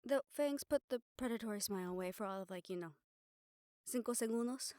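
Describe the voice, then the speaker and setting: Actress as supportive female partner, fairly high voice, wry, playful, conversational audio drama delivery, dry recording. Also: fairly quick, dark tone, dry recording, natural voice. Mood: wry, teasing. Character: teasing, supportive partner of a vampire woman, gently probing. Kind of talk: dramatic audio roleplay acting.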